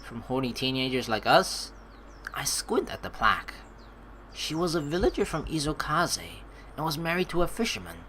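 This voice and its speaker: Animated let's player with a bright voice. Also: mid-range voice, a little hiss or hum. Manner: animated, joking, sarcastic asides. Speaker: let's play streamer. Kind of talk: reading game dialogue aloud with reactive commentary. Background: game music.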